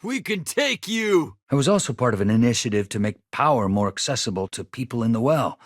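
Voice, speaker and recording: A man's voice: on the low side, no echo, clean studio sound. An actor playing an earnest, anxious male spaceship engineer in a video game, polite and hesitant in manner. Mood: urgent combat shouting. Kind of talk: game dialogue, companion voice lines.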